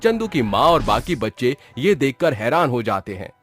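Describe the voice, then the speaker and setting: A man's voice: medium pitch, very animated, normal speed, fairly bright tone, faint music behind. A man narrating a scripted horror story as a dramatic storyteller.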